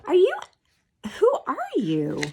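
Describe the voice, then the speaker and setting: A woman's voice: high-pitched, wildly swooping pitch, slow, vocal fry, voice pushed up, exaggerated voice. A timid little boy mouse from a storybook, played by a woman reading aloud. He is wistful and eager, with sighs and a hesitant quiver in his speech. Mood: curious. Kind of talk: storybook read-aloud character.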